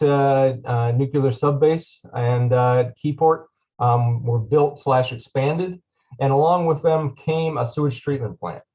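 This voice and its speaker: A man's voice: mid-range voice, muffled recording. A man who is a deputy county administrator, giving a loose presentation at a public meeting with many ums and uhs. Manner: frequent fillers, loose and conversational.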